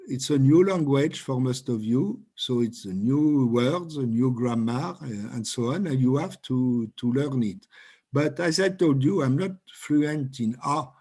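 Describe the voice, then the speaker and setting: Slow French-accented male trainer. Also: medium pitch, darkish tone. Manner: slow, halting, repeats words while explaining. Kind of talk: online software training. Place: home room with bookshelves. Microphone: video call.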